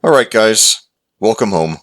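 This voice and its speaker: Man as a low-voiced, gentle pet owner. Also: lively intonation, unhurried. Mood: kindly. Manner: reassuring, coaxing pet talk. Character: kind, gentle male pet owner welcoming adopted dogs. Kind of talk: character dialogue in a visual novel.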